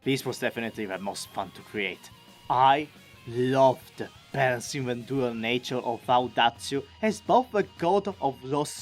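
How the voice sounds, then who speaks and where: A man giving an enthusiastic, expressive, chatty scripted recap as a video creator. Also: medium pitch. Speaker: video creator and amateur voice actor.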